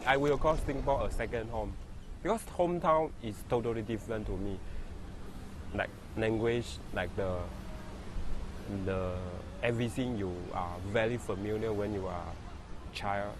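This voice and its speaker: A man from China, a native Chinese speaker, answering an interview in halting English. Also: lowish voice, background noise. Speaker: interviewee, permanent resident.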